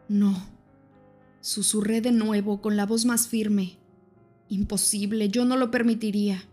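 Woman narrating an audiobook, flat-toned. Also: mid-range voice. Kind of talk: first-person audiobook narration.

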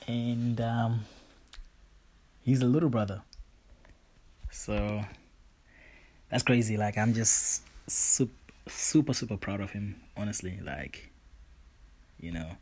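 A man's voice: lowish voice, takes it slowly, long pauses, low-quality recording. A young man from Zimbabwe hosting his own show, talking casually about his life with lots of fillers. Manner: relaxed, rambling, frequent fillers like "you know". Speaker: podcast host. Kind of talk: casual personal monologue.

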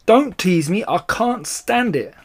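A man voicing an excitable, anxious badger in a children's story, with blustery, emphatic exclamations. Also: on the high side, a little slow. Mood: desperate, hopeful. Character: excitable, anxious adult male badger, starving digger.

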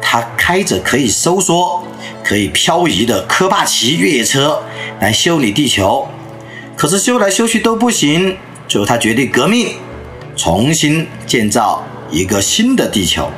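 Animated male storyteller giving a lecture. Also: mid-range voice, a little slow, soft background music. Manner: lively storyteller who acts out anecdotes.

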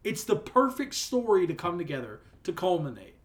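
Slightly high-voiced young man talking sports. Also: wildly swooping pitch, normal speed. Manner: teasing, then earnest and reflective. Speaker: podcast co-host. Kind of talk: casual sports chat.

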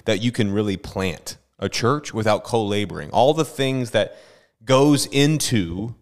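Low-voiced pastor hosting a chatty podcast. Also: male voice. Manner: chatty, quick, stumbles and restarts.

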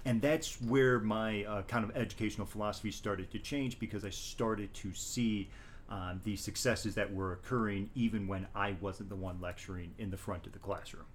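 A man with a somewhat low voice talks about his teaching in an interview. He is measured and reflective, and he often stops to restart a thought. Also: steady tone, a little hiss or hum. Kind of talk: interview conversation.

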